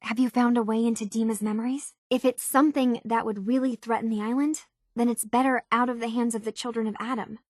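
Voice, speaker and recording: A woman's voice: on the high side, fairly even intonation, quick pace, no echo, clean studio sound, lightly acted. An actress playing an earnest, anxious and curious young runaway woman, speaking hesitant, thoughtful dialogue for a video game. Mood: thoughtful, concerned.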